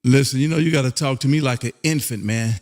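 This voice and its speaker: A man's voice: medium pitch, quick pace, a little mellow. An American man hosting live amateur radio contacts, chatting in a folksy, exuberant way and repeating phrases for emphasis. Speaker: amateur radio host and operator.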